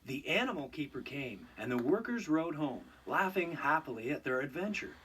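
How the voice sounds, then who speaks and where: Animated male storybook narrator, higher voice. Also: normal speed, husky, distant mic. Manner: expressive storytelling. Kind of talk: scripted children's read-along narration.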